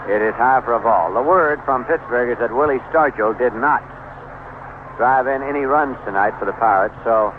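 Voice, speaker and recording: A man calling baseball play-by-play on the radio in measured, descriptive short phrases. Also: mid-range voice. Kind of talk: live radio sports commentary. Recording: noisy, tinny vintage 1970s AM radio broadcast.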